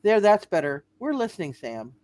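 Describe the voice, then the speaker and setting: A woman's voice: medium pitch, big pitch swings, moderate pace, darkish tone, dry recording, natural voice. A woman playing a coy, teasing young single woman in a hammed-up amateur radio play reading. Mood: bright, pointed.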